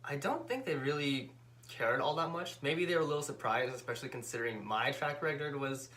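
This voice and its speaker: A man's voice: medium pitch, expressive, brisk, bright, forceful tone. A man speaking casually on a couple vlog with his fiancée, thoughtful and hedging as he searches for his words. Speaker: co-host, the vlogger's fiancé. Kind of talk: casual chat.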